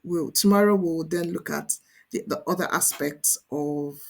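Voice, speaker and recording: A woman's voice: low voice, measured pace, vocal fry. A woman presenting an online tutorial as a coach, explaining conversationally and emphatically, often checking in with the listener. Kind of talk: instructional screen-share tutorial, conversational.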